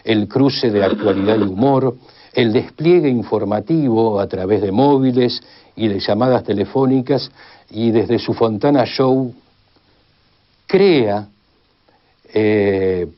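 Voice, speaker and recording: A man's voice: medium pitch, takes it slowly, long pauses. A man with an Argentine accent giving a formal expert explanation in long, list-like sentences. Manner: formal, builds long enumerations. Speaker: expert commentator on radio history. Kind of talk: measured spoken exposition. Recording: slightly dull, band-limited sound.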